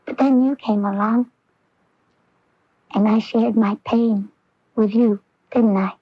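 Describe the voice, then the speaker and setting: A woman's voice: mid-range voice, fairly even intonation, slow pace, dry recording. A woman playing an earnest, lovelorn young woman in a 1980s radio drama, intense, vulnerable and pleading. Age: young adult. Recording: dull lo-fi 1980s AM radio recording.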